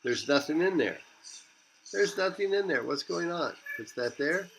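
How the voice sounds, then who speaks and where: A man's voice: on the high side, animated, moderate pace, vocal fry, fairly bright tone, a little hiss or hum, poor audio. A man teaching a physics class, rambling and correcting himself as he talks. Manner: rambling, self-correcting, repeats phrases. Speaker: physics instructor. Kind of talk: classroom lecture.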